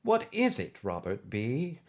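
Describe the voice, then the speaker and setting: Man voicing a prim, high-pitched older lady schoolteacher. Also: wildly swooping pitch, slow pace, exaggerated voice. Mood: concerned, apologetic. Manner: formal, precise, gently scolding. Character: kindly but exacting older woman, former schoolteacher.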